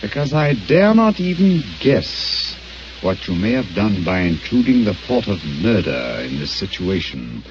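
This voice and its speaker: An actor playing a brilliant, composed detective, speaking in a measured, precise and authoritative way in a 1940s radio play. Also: male voice, lowish voice, very animated, background noise. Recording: muffled old radio broadcast.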